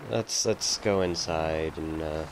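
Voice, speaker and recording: A man's voice: a bass voice, takes it slowly, background noise. A man giving casual video game commentary, mumbling and muttering to himself as he plays.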